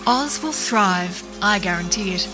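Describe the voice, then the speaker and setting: A woman's voice: medium pitch, expressive, measured pace, lightly acted. A woman playing a confident, patient, scheming good witch in a fantasy audio drama. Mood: calm, assured. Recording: loud music behind.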